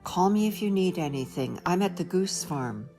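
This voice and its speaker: A woman voicing a grown man who reassures a friend gently over the phone. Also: high voice, animated, moderate pace, faint music behind. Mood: reassuring.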